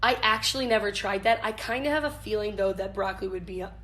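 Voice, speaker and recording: A woman's voice: medium pitch, fast talker, fairly bright tone. Bubbly young American woman in casual interview. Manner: bubbly, giggly, informal. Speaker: interview guest, camp staff leader. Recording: noticeable background noise.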